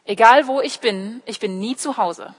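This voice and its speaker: A woman's voice: fairly high pitch, moderate pace, breathy edge. A young woman, a native English speaker raised in Germany, preaches in an explanatory way, addressing listeners directly and voicing short quotes. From Germany, born in California and raised in Heidelberg. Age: young adult. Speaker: theology student preaching at church. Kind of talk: sermon with personal illustrations. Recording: echoey hall with long reverb.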